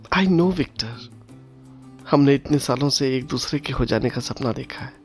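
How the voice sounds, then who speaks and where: A woman's voice: a bass voice, measured pace, soft background music. A woman with an Indian accent playing an eager, inquisitive young bride in an audio drama. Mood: affectionate, eager. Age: young adult. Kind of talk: Hindi audio drama acting.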